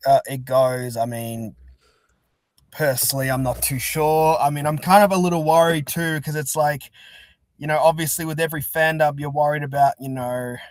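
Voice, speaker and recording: A man's voice: fairly high pitch, steady tone, moderate pace, dry recording. A man chatting casually as an interview guest, talking in a rambling, chatty way. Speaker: interview guest, fan-dub creator. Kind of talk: casual chat.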